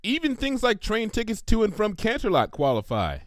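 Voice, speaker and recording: A man's voice: high-pitched, wildly swooping pitch, moderate pace, no room sound, clean studio sound, voice pushed up. A man voicing a prim, bookish young female cartoon pony, earnest and precise as she lectures. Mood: explaining, embarrassed. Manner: lecturing, precise, matter-of-fact.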